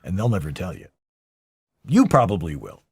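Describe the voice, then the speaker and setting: Male interview host. Mid-range pitch that moves around a lot, casual phrasing, very dry recording. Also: sing-song, moderate pace, a little mellow, breathy edge, dry recording. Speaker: talk show host, interviewer.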